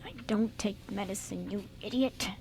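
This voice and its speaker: A woman playing an awkward, easily flustered male con man in an amateur radio play, halting and muttering. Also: medium pitch, creaky, a little mellow, lightly acted. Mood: irritated.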